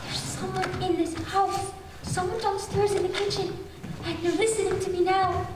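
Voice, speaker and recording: Shrill, terrified woman, echoey hall. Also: fairly even intonation, normal speed. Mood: fearful hush. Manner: breathless pleading, stammering, hushed then frantic. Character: terrified bedridden woman alone at night, frantic and pleading. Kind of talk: 1940s radio thriller acting on stage. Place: stage in a large echoey hall. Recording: very echoey hall, distant mic, noisy.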